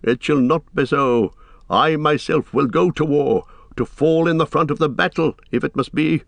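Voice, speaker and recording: A man's voice: a little high, moderate pace, creaky, a bit bright, dry recording, voice pushed up. An old warrior king of storybook fantasy, acted out by a male narrator, proud and unbending. He declaims formal commands in a raised, stern voice. The sound is dry, with no room around it. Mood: resolute.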